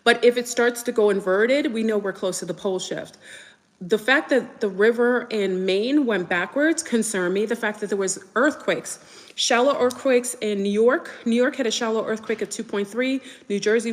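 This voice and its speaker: A woman's voice: a little high, moderate pace, long reverb tail. A woman whose first language is French, chatting casually and rambling with viewers on a livestream, full of fillers and restarts. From United States. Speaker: livestream host.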